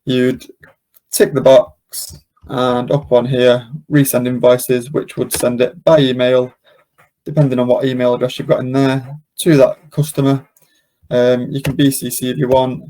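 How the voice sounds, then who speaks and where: A man presenting a live software demo and question session, explaining step by step in an informal, hesitant way. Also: medium pitch, little pitch movement, unhurried, low-quality recording. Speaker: webinar presenter and software demonstrator.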